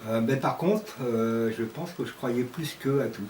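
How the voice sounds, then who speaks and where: A man's voice: mid-range voice, a little slow, darkish tone. A retired man in his sixties speaking in a wry, self-deprecating way. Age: 60s. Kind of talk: personal testimony. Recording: roomy distant mic with noise.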